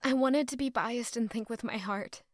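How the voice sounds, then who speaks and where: A woman playing a conflicted, loyal young woman in love in an audio drama, with emotional, deliberate delivery. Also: a little high, no echo, clean studio sound, lightly acted. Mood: pensive, troubled. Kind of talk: dramatic audio drama acting with first-person narration.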